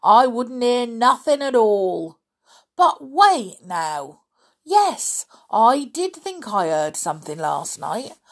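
A woman's voice: a little high, sing-song, slow, pitched above the performer's own voice, heavily acted. A woman voicing a grumpy, suspicious, deaf old man in a children's story, scolding irritably in rustic turns of phrase. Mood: grudging, slow.

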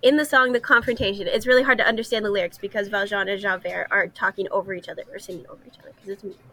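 A woman co-hosting a podcast, explaining stories in an animated, conversational way and jumping in readily. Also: medium pitch, rapid-fire. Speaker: podcast co-host. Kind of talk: casual discussion of stories.

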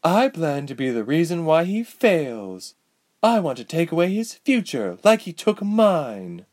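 A man's voice: a little high, big pitch swings, measured pace, a little mellow, pitched above the performer's own voice. A man voicing a cold, bitter, vengeful sorceress, the daughter of a villain, speaking coolly and indifferently. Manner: cool and indifferent, turning bitter.

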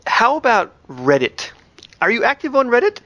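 A man's voice: very high-pitched, wildly swooping pitch, moderate pace, pushed and bright, no room sound. A man co-hosting a podcast with casual, wry, teasing banter. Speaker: podcast host.

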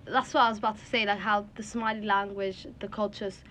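A woman's voice: mid-range voice, steady tone, quick pace, fairly bright tone. A young woman, leading a casual group discussion and rephrasing as she goes. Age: young adult. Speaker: discussion host. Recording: clear background noise and room ambience.

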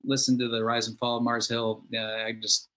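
A man's voice: mid-range voice, flat delivery, moderate pace, fairly bright tone. A man being interviewed as a songwriter guest, talking in a reflective, conversational way. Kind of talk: casual conversation.

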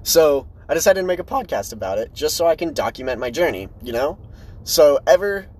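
A man of twenty talking casually and candidly, hosting his own solo podcast in a rambling, choppy way. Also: fairly quick. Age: 20s. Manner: rambling, candid, animated swings in pitch. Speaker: podcast host.

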